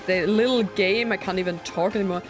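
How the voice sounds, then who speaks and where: A woman's voice: medium pitch, fairly even intonation, fairly quick. A woman doing casual gameplay commentary, reacting aloud in a giggly, self-deprecating way with exclamations. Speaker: game streamer. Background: game music.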